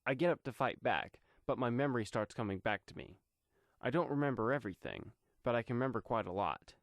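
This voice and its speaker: A man's voice: mid-range voice, lively intonation, a little fast, dry recording. A man reading scripted first-person story narration.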